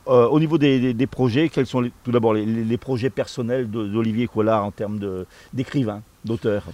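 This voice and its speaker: A man hosting a conversational radio interview, speaking haltingly and repeating words as he forms his sentences. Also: medium pitch, steady tone, mellow, no room sound. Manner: halting, repeats words while forming questions.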